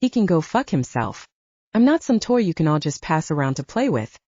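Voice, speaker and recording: A woman voicing a defiant, sharp-tongued young she-wolf, indignant and sarcastic, in audiobook dialogue. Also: sits low, big pitch swings, fairly quick, no echo, lo-fi sound. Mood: outraged.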